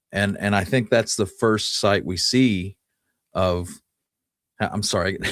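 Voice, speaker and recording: Male podcast host, slightly low voice, folksy and conversational history storytelling, very dry sound. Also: vocal fry, dry recording. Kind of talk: casual storytelling, Wild West history talk.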